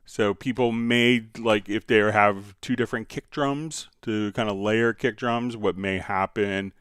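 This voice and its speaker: An American man talks casually as the host of an online tutorial stream. His voice sits fairly low and is smooth and clear. He is courteous and a bit rambling, recorded up close with a dry sound. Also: steady tone, measured pace, fairly bright tone, no room sound. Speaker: live stream host, music software specialist. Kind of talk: casual tutorial live stream talk, answering viewer questions.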